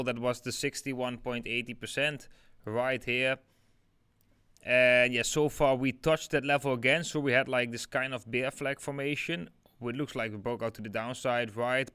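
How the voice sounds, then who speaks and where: A young man with a Dutch accent chats loosely to his live viewers, leaning on fillers like "like" and "yeah." His voice is smooth and resonant, and it sounds dry and close to the mic. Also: medium pitch, fairly bright tone, dry recording. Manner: chatty, many fillers like "like" and "yeah". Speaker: crypto livestream host. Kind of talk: casual live chart analysis.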